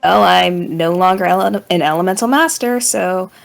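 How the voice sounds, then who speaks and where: A woman with a lowish voice and plenty of vocal fry chats casually about a show. She pauses hesitantly mid-thought, over faint music. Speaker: podcast panelist. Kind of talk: casual fan chat. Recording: music playing behind voice.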